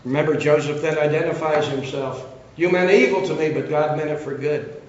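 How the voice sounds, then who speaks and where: Older male preacher, fairly high voice, rough throat, very echoey church, dull sound. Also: fairly even intonation, a little fast. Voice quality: coughing, cough drop in mouth. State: losing his voice, throat trouble. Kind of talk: sermon storytelling.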